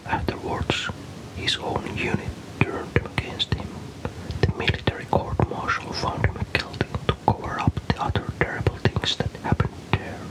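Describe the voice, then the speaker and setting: Male ASMR storyteller, fully whispered delivery, soft and breathy, calm deliberate narration. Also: rough recording. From Finland.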